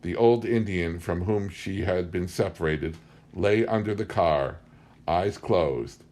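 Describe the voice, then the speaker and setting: A man's voice: low voice, slow, darkish tone. A man reading a book aloud as scripted story narration. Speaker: audiobook reader.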